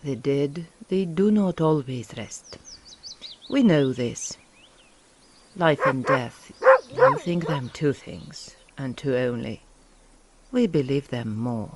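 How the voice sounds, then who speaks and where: A woman acting in a gothic radio drama as an ingratiating, ominous mourning peddler with halting, old-world phrasing. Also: very deep voice, very animated, heavy background noise, full character voice. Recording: loud barking dog behind the voice.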